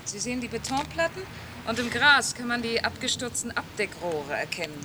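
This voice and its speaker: An actress playing an eager, indignant German conservationist who guides a visitor. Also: female voice, on the high side, expressive, fairly quick, close to an everyday voice. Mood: insistent. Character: zealous German conservationist woman, insistent. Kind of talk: 1980s radio play acting. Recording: outdoor noise behind.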